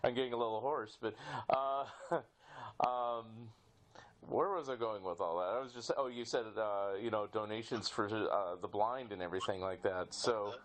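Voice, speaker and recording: Guy hosting a podcast interview, lowish voice, casual, kinda rambling and halting questions.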